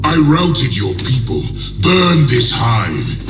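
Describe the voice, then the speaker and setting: Video game tyrant warlord, gravelly, imperious, menacing, swooping intonation, echoing and distant. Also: male voice, medium pitch, big pitch swings, slow, music in the background. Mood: suspicious. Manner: menacing, commanding. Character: brutal, imperious deposed male warlord emperor. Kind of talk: video game cutscene acting.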